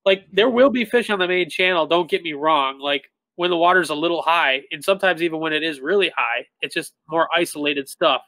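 A man in his thirties, a tournament bass angler, explaining things in a relaxed way full of "like" fillers over a video call. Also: a little fast, few pauses, no room sound. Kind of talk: casual interview talk. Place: indoor room with shelves of tackle. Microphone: webcam on a video call.

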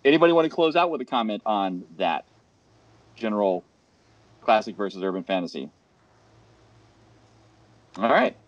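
A man's voice: on the low side, expressive, normal speed, pauses often, no room sound. A man moderating a panel from home on a headset, talking in a rambling way with many restarts. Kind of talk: casual panel discussion. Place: home room. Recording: dull lo-fi video call.

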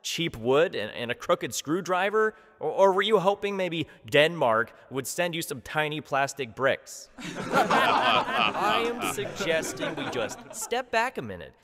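A man acts an exasperated, reasonable delegate in an audio drama. His voice is sharp and very lively, in an echoing hall. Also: a little high, measured pace, heavily acted. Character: exasperated, reasonable Canadian male committee member. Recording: echoey hall.

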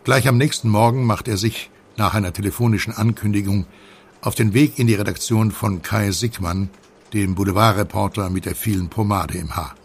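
Gravelly male thriller narrator played by an actor. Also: lowish voice, moderate pace, lightly acted. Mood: measured, suspenseful. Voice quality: gravelly, creaky. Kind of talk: audio drama narration in present tense. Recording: studio narration over noisy ambience.

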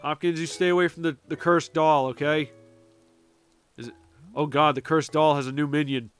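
A man's voice: on the high side, flat delivery, normal speed, clean tone, faint music behind. A man casually commenting as he plays a game on a stream, jokey and talking to himself, a bit sniffly from allergies. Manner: chatty, jokey, talks to himself and game characters. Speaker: gaming streamer.

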